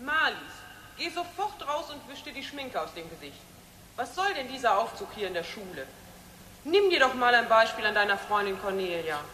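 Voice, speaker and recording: Ein Schauspieler spielt in einem Hörspiel einen strengen, altmodisch tadelnden Lehrer. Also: male voice, very high voice, sing-song, moderate pace, exaggerated voice. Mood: stern reprimand. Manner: sharp, reprimanding. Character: stern scolding old-fashioned male schoolteacher. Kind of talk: German radio drama acting. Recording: echoey reverberant hall, noisy background.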